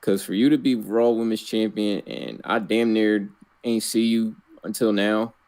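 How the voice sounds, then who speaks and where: A man co-hosting a casual podcast, giving wrestling picks in a relaxed, blunt way and repeating short phrases. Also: fairly low pitch, unhurried, creaky. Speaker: podcast co-host. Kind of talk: casual wrestling predictions chat.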